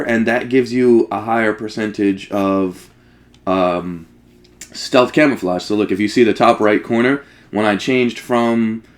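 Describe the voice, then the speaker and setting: Casual male gamer, medium pitch. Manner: informal, sweary, thinking aloud. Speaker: let's play gamer. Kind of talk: casual gaming commentary.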